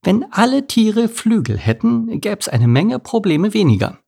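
A man's voice: high voice, sing-song, a little slow, creaky, a little mellow, slightly rough, voice pushed up, full character voice. A man voicing a small female thrush in a children's story, talking in an earnest, chatty way. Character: high-pitched earnest female thrush, small talkative bird.

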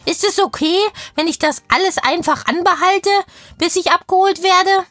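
A woman's voice: extremely high pitch, normal speed, a bit bright, no echo, faint music behind. A woman voicing a cheeky, curious kindergarten girl who chats in childlike slang in a children's audio play. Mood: chatty, cheerful. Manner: chatty, childlike slangy German.

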